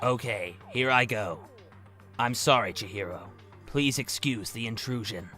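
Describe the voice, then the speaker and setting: A man voicing a solemn, gentle, massive teenage girl fighter in an anime fan dub, speaking gravely and formally. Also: mid-range voice, measured pace, soft background music.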